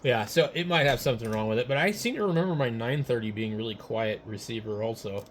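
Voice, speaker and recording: A man in a casual technical chat as a ham radio operator, speaking haltingly as he thinks aloud. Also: medium pitch, heavy background noise.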